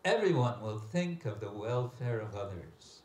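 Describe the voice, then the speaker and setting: A man giving a scriptural lecture as a religious teacher, reading aloud and commenting with deliberate, emphatic phrasing and pauses. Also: on the low side, sing-song, a little mellow. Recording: echoey room with background hiss and ambience.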